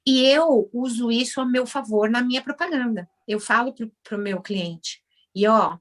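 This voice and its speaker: A Brazilian woman in her forties talks through her story in a relaxed interview, her voice sitting a touch high for a woman. She tells it with animation and slips into little voices when she acts out what other people said. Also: unhurried. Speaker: guest, Brazilian orthodontist now working as a house cleaner.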